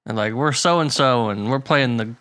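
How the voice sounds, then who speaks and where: Chatty man with a bright, dry voice. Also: medium pitch, normal speed, dry recording. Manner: rambling, laughs often, many restarts. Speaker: podcast co-host. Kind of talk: casual chat. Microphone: pop filter.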